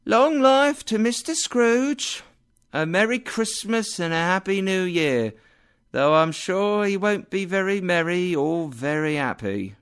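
A man's voice: high-pitched, very animated, slow pace, pitched above the performer's own voice, exaggerated voice. A man doing a fiery, indignant mother. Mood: grudging. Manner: scornful, heated. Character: indignant working-class mother, sharp-tongued.

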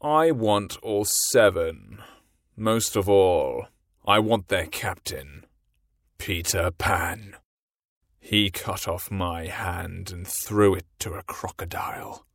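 A man voicing a scheming, menacing pirate captain in a storybook reading, speaking low and slow. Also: a bit bright, no room sound, studio quality, full character voice. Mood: brooding. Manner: slow, low, brooding delivery.

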